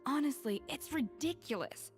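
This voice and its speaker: A woman voicing a proud, earnest young female chef in a video game, expressive and precise. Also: high voice, normal speed, faint music behind. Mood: exasperated.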